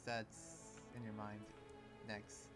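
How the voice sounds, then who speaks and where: Sympathetic male slayer, played by a man, halting and reassuring anime game roleplay, clear music behind him. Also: fairly low pitch, monotone. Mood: kind, hesitant. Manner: hesitant, halting, gently reassuring. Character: earnest, sympathetic young male demon slayer.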